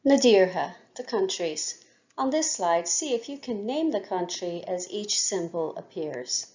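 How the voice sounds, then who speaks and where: Slow, patient woman teaching a language. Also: medium pitch, animated, mellow. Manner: says each word several times slowly for learners to repeat. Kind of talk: language lesson with repetition drills. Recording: dull lo-fi recording.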